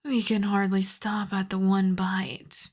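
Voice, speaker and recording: A woman's voice: medium pitch, level tone, slow, a little mellow. A woman playing a seductive, possessive vampire countess in an intimate ASMR roleplay, teasing and commanding, often half-whispering. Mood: hungry, possessive. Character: seductive, possessive vampire countess, once human, playfully menacing.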